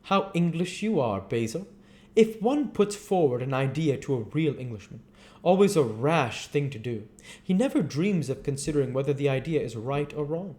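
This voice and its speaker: A man voicing a witty, vain English gentleman from a novel, with an exclamatory, teasing and pompous manner. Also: fairly high pitch, lively intonation, moderate pace, lightly acted. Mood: teasing, opinionated.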